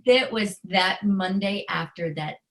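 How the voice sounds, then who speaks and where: A woman's voice: mid-range voice, a little slow, a bit bright. A middle-aged American woman speaking in an earnest, emotionally open, conversational way as an interview guest telling personal stories. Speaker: guest author and special education educator.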